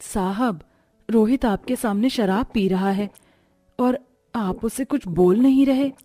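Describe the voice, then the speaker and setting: A woman playing a kind, dutiful poor mother and housemaid in a cartoon story, speaking gently and instructively. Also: a little high, slow pace, no room sound, soft background music. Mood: concerned, respectful.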